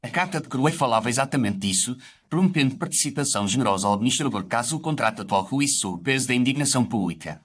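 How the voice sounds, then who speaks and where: A man plays a gentlemanly doctor narrating his memoirs. The mid-pitched voice moves briskly through formal, literary, reflective storytelling, with faint noise behind it. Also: expressive, natural voice. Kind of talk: scripted first-person story narration.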